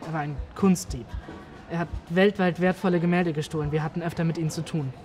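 A man's voice: a little high, fairly even intonation, a little fast, a little mellow, soft background music, lightly acted. A young man playing an earnest, awkward amateur detective in film dialogue, speaking politely and hesitantly. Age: young adult.

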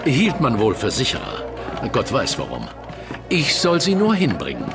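A man's voice: mid-range voice, huge pitch swings, normal speed. A man playing a shifty pub go-between in a German audio drama, hesitant, evasive and clipped. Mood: furtive. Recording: music behind the voice.

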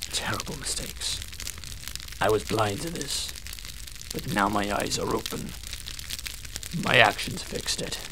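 An actor playing a brooding, weary werewolf warrior from space in a science-fiction audio drama, terse and grumbling. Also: male voice, on the low side, vocal fry, bright, forceful tone, a little hiss or hum, heavily acted. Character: brooding battle-worn alien werewolf warrior, defiant and weary.

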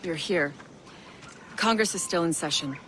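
A brisk assassin ally, voiced by an actor, with an even, mid-range pitch and a businesslike delivery. Faint background noise sits under the voice. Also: lightly acted.

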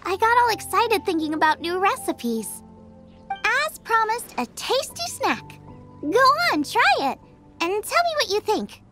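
A woman voicing a cheerful, food-obsessed young girl chef with an excitable, breezy manner in a video game. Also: very high voice, unhurried, faint music behind, exaggerated voice. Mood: excited, eager. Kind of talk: scripted game dialogue.

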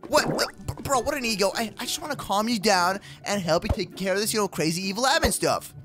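High-pitched, wisecracking young adventurer, acted by a man. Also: lively intonation, fast, music in the background. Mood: sarcastic banter. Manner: slangy, jokey, stammering. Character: excitable, wisecracking young adventurer with a pet companion. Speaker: YouTube roleplay creator. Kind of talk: improvised game roleplay acting.

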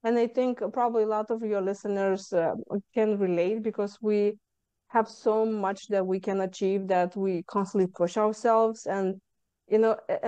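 A young woman with a Lithuanian accent talks as a podcast guest, earnest and explaining with frequent fillers. Her voice is warm and smooth, recorded dry on a webcam over a video call. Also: mid-range voice, dry recording. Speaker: guest, stress management coach. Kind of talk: casual interview chat.

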